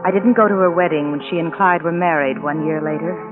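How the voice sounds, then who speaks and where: A woman's voice: lowish voice, fast talker, lightly acted. An actress playing an anxious, horrified woman friend, earnest and haunted, in 1940s radio drama style. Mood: haunted, grave. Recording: narrow old radio recording with music.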